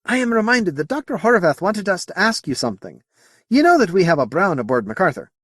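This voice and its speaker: A young Scottish midshipman from a space adventure audiobook acted out by a man. He is polite and inquisitive, speaks in dialect, and sits higher in pitch with a lively rise and fall. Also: a little fast, dry recording, studio quality, full character voice. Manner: polite, dialect-laden speech.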